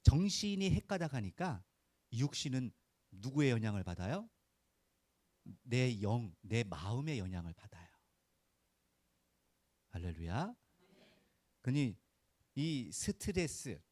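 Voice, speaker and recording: Animated male pastor preaching conversationally. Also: mid-range voice, no room sound. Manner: asks rhetorical questions and prompts listeners for answers; folksy, informal, punchy short phrases. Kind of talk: conversational Sunday sermon.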